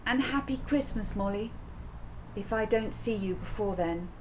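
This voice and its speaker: A woman's voice: mid-range voice, normal speed, a little mellow, lightly acted, rough recording. A woman storyteller voicing a kind, reassuring female librarian from a children's storybook. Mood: warm farewell. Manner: kindly, reassuring. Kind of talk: storybook character voice. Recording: clear background noise.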